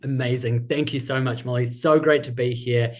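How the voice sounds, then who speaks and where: A man's voice: medium pitch, steady tone, normal speed, darkish tone. A man with a New Zealand accent hosting a live webinar, enthusiastic and reading out viewers' chat messages. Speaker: webinar host and business coach.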